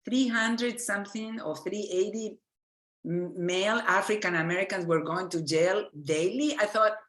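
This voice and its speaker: A woman's voice: mid-range voice, normal speed, a bit bright, reverberant. Argentine-accented woman giving a lecture. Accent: Argentine Spanish. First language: Spanish. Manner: earnest, searching for words, frequent restarts. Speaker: physician lecturer. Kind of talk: lecture presentation.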